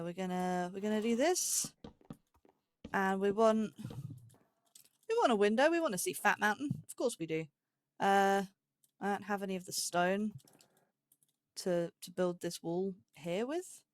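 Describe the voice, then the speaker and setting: A woman's voice: medium pitch, slight background noise. A woman giving casual let's play commentary, musing aloud and questioning herself as she goes. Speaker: host of a gaming let's play series.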